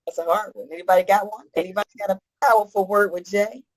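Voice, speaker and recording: A woman hosting an online networking meeting, upbeat and quick to laugh, prompting guests to introduce themselves. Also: medium pitch, steady tone, no room sound. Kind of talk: casual group-call hosting.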